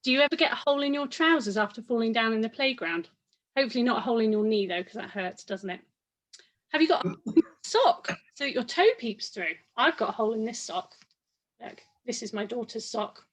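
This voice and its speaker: A woman's voice: fairly high pitch, expressive, fairly quick, fairly bright tone, low-quality recording. A woman presenting a Bible story session, chatting directly to young children.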